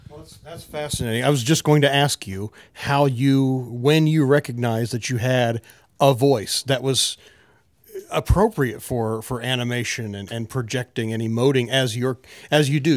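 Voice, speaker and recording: A man's voice: medium pitch, no echo. A man co-hosting a convention interview, asking a question with curiosity and a few restarts into a handheld mic. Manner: curious, searching for words. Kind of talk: fan interview. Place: small room at a fan convention.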